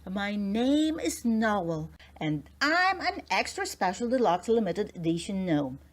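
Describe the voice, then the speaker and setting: A woman's voice: medium pitch, sing-song, slow pace. A woman voicing a boastful, snooty male garden gnome in a storybook, speaking grandly and self-importantly. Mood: pompous.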